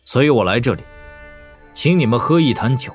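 Casting: male actor for young frontier prince in audio drama, measured and authoritative, lively intonation over faint music. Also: medium pitch, natural voice. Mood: solemn, heartfelt. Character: young prince and frontier warlord, calm, commanding.

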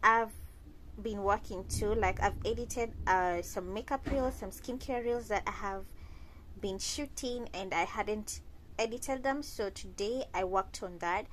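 A woman's voice: mid-range voice, unhurried, a bit bright, slight background noise, rough recording. A young woman chatting casually to her viewers while lying in bed, filming on a phone held close. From Kenya. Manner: chatty, addresses viewers as guys. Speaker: lifestyle vlogger. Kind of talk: casual vlog chat to camera.

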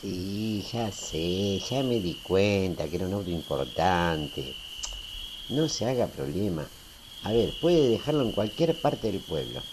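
A man's voice: sits low, expressive, background noise. An actor playing an easygoing, teasing small-town railway man in a radio drama, folksy. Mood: reassuring. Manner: folksy, unhurried, conversational.

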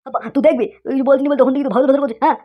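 A woman's voice: high-pitched, steady tone, rapid-fire, natural voice. A woman voicing a hot-tempered, exasperated young cartoon husband who scolds and blusters. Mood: furious. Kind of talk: comic cartoon dialogue. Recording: dull lo-fi sound.

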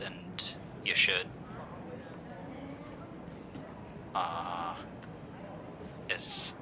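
A young man voicing an affectionate, teasing boyfriend in an audio roleplay, speaking softly and hushed. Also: deep voice, vocal fry, heavily acted. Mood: soft, nervous. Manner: soft, hushed, teasing with light laughs. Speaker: voice actor. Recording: steady ambient background noise. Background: busy airport ambience.

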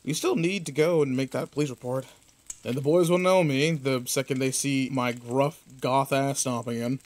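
A man acting in an audio roleplay as a protective, teasing, rough-edged but kind pretend boyfriend, talking intimately and casually. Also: medium pitch, fairly even intonation, normal speed, close to an everyday voice. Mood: playful, relaxed.

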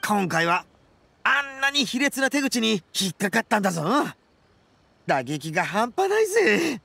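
An actor voicing a brash, boastful young male oni gang boss in a game, with rough, swaggering, melodramatic speech. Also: high-pitched, big pitch swings, normal speed, sharp tone, no echo, heavily acted. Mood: shocked, dejected. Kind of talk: Japanese game voice acting.

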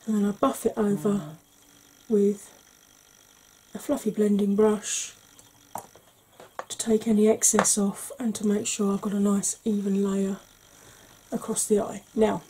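A woman in her forties, a makeup vlogger, talking through an ASMR makeup tutorial slowly and gently in a soft, breathy voice that often drops to a whisper, recorded at home. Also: mid-range voice, little pitch movement, slight background noise, low-quality recording. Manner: slow, gentle, patient explaining. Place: small home room.

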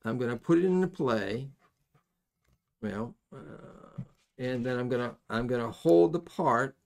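Creaky-voiced middle-aged man teaching soldering. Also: medium pitch, expressive, darkish tone. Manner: folksy, halting, thinking aloud with repetitions. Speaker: electronics soldering instructor. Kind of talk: hands-on tutorial, explaining casually while working. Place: indoors at a workbench.

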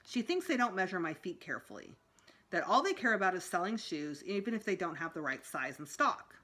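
Very animated middle-aged woman reading aloud. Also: on the low side, brisk, breathy edge. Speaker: school storytime reader. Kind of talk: expressive children's book read-aloud. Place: small room indoors at home.

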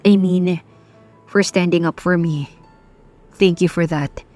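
A woman voicing a gentle, earnest young husband in a romance audio drama, calm and soft-spoken. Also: lowish voice, takes it slowly, faint music behind, voice pushed down. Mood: grateful, soft. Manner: calm, soft, hushed delivery.

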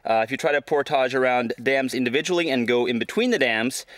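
A man in his thirties talking unscripted to a camera outdoors on a canoe trip, with a halting delivery. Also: mid-range voice, a bit bright, dry recording. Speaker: expedition filmmaker and presenter. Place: outdoors by a wild river and trail. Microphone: handheld camera at arm's length. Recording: noisy outdoor camera audio with ambient sound.

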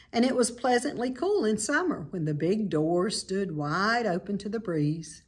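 An American woman in her fifties reading a children's book aloud in a measured, expressive way, in a small room at home. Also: mid-range voice, husky.

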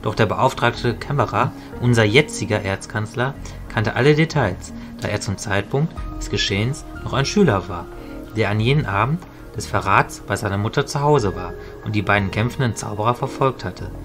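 A man playing a calm, knowledgeable mentor mage, explaining in a measured way in an audio drama. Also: on the low side, over music, lightly acted. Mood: grave recounting. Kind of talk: hobby audio drama storytelling.

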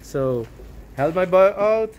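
A man's voice: sits high, lively intonation, slow, darkish tone. A man, chatting casually as he hosts a car vlog. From Aruba. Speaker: car vlogger, host. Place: outdoors at open garage and yard. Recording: outdoor ambience, light background noise.

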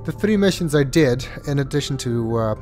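A man's voice: medium pitch, expressive, normal speed, a little mellow, faint music behind. A congested man with a stuffy nose giving casual, hesitant commentary as he plays through a video game. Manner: hesitant, with many ums and uhs. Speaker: let's play host.

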